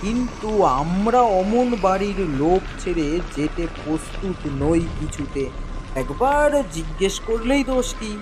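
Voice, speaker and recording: A storyteller reading a literary horror story in an expressive, dramatic and wry narrating voice. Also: mid-range voice, slow, noisy background. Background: background music.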